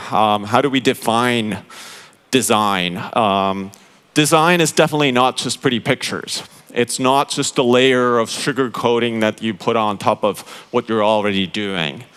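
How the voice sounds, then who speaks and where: Man, mid-pitched and clear-toned, explaining to a conference crowd with frequent pauses. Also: fairly bright tone. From Finland. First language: Finnish. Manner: explanatory, halting with many fillers, addresses the audience directly. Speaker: conference speaker, interaction design director. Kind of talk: conference keynote talk.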